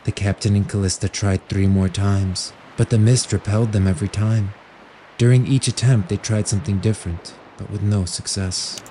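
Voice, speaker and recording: A man's voice: lowish voice, fairly even intonation, normal speed, warm tone, slight background noise, poor audio. A man reading scripted storybook narration as the narrator of an audio drama. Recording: music under the voice. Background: background music.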